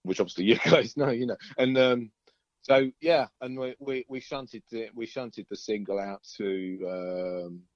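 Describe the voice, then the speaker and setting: A man's voice: medium pitch, unhurried, no echo. An easygoing man chats casually as an interviewed band musician, rambling and often hesitating over his words. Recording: dull lo-fi call audio.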